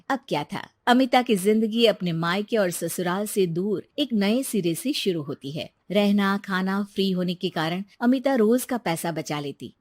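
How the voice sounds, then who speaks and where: A woman's voice: mid-range voice, no room sound, clean studio sound. A woman narrating a scripted moral tale as storyteller.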